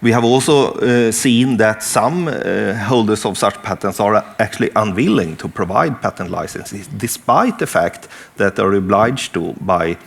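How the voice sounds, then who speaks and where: A Swedish man in his fifties, a professor giving a measured, explanatory talk on a conference panel. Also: lowish voice, lively intonation, vocal fry. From Sweden. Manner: measured, explanatory, with frequent uh pauses. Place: conference breakout room.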